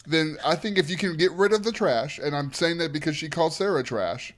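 A man's voice: on the high side, brisk. A man co-hosting a podcast in casual chat, recapping a reality show in an emphatic, opinionated way. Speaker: podcast co-host.

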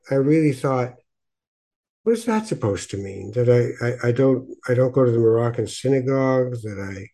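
A man's voice: medium pitch, mellow. A man hosting a conversational podcast, talking reflectively and often repeating words as he goes. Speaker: podcast host. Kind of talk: conversational interview with reflective introduction.

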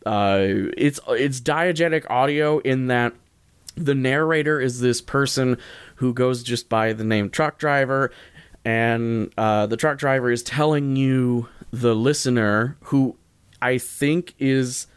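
A man's voice: medium pitch, slow, smooth, no echo. A man talking casually as a podcast host, rambling and correcting himself in an informal, jokey way. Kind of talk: casual solo podcast talk, recommending.

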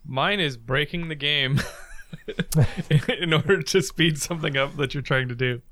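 A man co-hosting a gaming podcast, relaxed and slow, explaining with examples. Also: fairly high pitch. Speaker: podcast co-host. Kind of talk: casual podcast chat.

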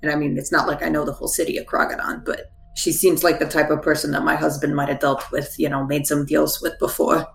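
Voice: medium pitch, little pitch movement, fast, gravelly, hardly any pauses, a little hiss or hum. A tabletop player voicing a chatty ninety-year-old gnome woman cook, rambling and folksy, genially evasive. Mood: chatty, guarded. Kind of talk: improvised in-character tabletop roleplay. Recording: roomy echo, faint music behind.

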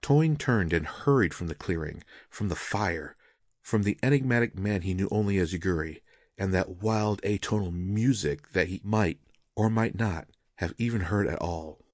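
A man's voice: deep voice, normal speed, dry recording, studio quality. A man reading a scripted fantasy story as a dramatic narrator.

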